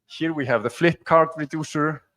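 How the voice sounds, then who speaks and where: A man's voice: a little high, moderate pace, a bit bright. Man giving a tech talk. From Iceland. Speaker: conference speaker. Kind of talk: informal technical talk with live demo. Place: conference stage before an audience.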